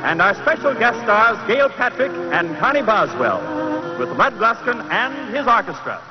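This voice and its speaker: A man's voice: high-pitched, sing-song, moderate pace. A man announcing a variety program in 1940s radio style. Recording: muffled old radio recording with music.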